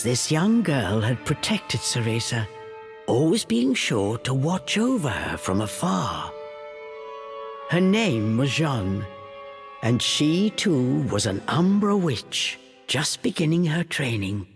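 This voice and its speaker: A woman narrating a fairytale-like video game story in a measured, storybook manner. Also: very deep voice, very animated, faint music behind.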